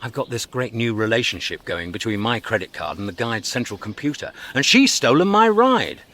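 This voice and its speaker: Excitable, brash space hitchhiker. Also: male voice, mid-range voice, fairly quick. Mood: agitated. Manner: animated, emphatic, sardonic. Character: excitable, brash male galactic hitchhiker, urgent and blunt. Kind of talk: radio comedy drama acting.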